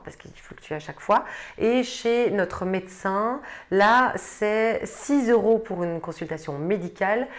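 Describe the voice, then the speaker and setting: A woman speaks in a medium-pitched voice. She explains things as a support worker answering in an interview. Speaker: youth help service worker.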